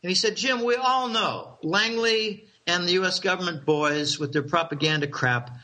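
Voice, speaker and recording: A man's voice: sits high, normal speed, bright, forceful tone, low-quality recording. A middle-aged man casually telling rambling, conspiratorial stories, chuckling and restarting his sentences.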